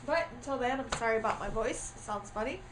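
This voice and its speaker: Woman, high voice, congested from a cold, chatty and full of filler words, camera-mic sound with faint ambience. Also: animated, fast talker, noisy background. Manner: chatty with frequent ums and uhs. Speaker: craft tutorial host. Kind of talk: casual craft tutorial.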